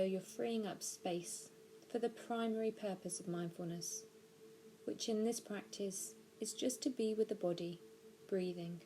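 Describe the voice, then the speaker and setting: A woman narrating a guided body scan meditation, giving gentle, measured instructions slowly with pauses. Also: mid-range voice. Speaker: mindfulness practitioner guiding a meditation.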